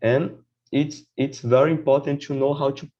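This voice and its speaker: A man's voice: mid-range voice, fairly even intonation, dark tone, lo-fi sound. A man, a podcast guest in casual conversation, who often restarts his sentences and keeps checking in with "right?". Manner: halting, many restarts, repeats "right?".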